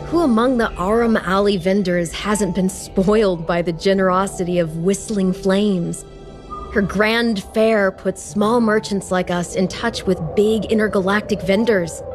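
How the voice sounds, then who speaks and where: An actress voicing a gushing, talkative woman who runs a humble eatery in a video game. She speaks expressively at an average pitch for a woman, with game music clearly playing behind her. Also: steady tone, measured pace, close to an everyday voice. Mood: admiring. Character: chatty grateful woman chef running a humble eatery. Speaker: eatery chef. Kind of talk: video game dialogue acting.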